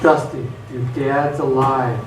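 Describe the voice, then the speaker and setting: A man's voice: medium pitch, little pitch movement, slow, natural voice. A man playing a protective, reassuring teenage boy in a live radio theatre drama. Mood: urgent, comforting. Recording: echoey stage, distant mic, faint noise.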